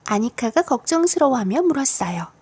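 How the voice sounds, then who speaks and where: Animated high-voiced woman storybook narrator. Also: fairly quick, slightly rough. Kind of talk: scripted children's audiobook narration.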